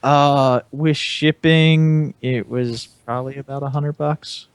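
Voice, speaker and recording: A man's voice: a little high, fairly even intonation, slow, clear, resonant tone, dry recording. A man chatting casually as a panelist on a hobby podcast.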